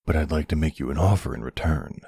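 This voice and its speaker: A man playing an older, calm and commanding mafia boss with a low husky voice, speaking deliberately in an intimate roleplay audio drama. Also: dry recording, clean studio sound, full character voice. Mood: calm, sincere. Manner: measured, deliberate, authoritative. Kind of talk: ASMR boyfriend roleplay acting.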